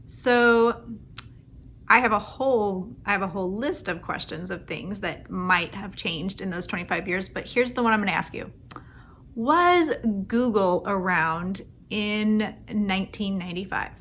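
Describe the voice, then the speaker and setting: A woman's voice: medium pitch, measured pace, a bit bright, a little hiss or hum. A woman author chatting casually about her book, with many ums and restarts. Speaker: young adult author. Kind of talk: casual self-recorded video.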